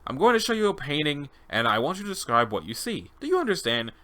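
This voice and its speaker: Man playing a detached, clinical researcher, bright voice. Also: on the high side, sing-song, fast talker. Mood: calm, procedural. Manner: formal, polite, detached. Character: calm, clinical male doctor running an experiment. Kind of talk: dramatized interview log reading.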